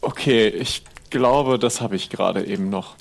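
A man's voice: fairly low pitch, a little slow, vocal fry. A man speaking casually and politely, a little tentative, as a player improvising in a role-play.

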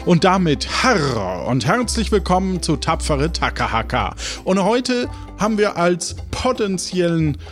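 A man's voice: high voice, big pitch swings, unhurried, soft background music. A man hosting and narrating an improvised adventure podcast as game master, with a theatrical way of announcing.

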